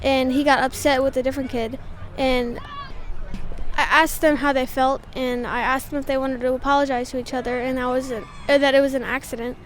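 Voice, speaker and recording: A woman's voice: high voice, level tone, a little fast, slight background noise. A teenage girl from St. Louis who is a junior coach, explaining earnestly at an outdoor soccer practice.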